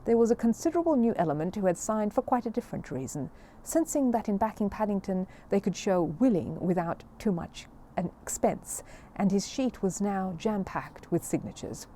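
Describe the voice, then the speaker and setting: Lively woman reading a children's story. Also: mid-range voice, slight background noise. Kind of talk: expressive narration of a children's story.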